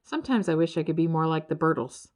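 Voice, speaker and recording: A woman's voice: low-pitched, lively intonation, quick pace. A woman voicing an earnest, hopeful young creature, half fish and half bird, in a children's storybook. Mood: wistful. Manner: eager, earnest.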